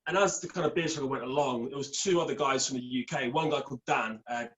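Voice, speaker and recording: A man's voice: mid-range voice, quick pace, a bit bright. A young Scottish man with an English accent, chatting casually on a call as a podcast guest, rambling upbeat through his story. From Scotland. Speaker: podcast guest, beard product entrepreneur. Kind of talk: casual chat.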